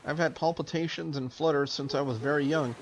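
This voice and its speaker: A man's voice: a little high, fairly even intonation, fairly quick, natural voice, low-quality recording. A man voicing a proud, easily flustered young woman in a visual novel, defensive and huffy. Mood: guarded.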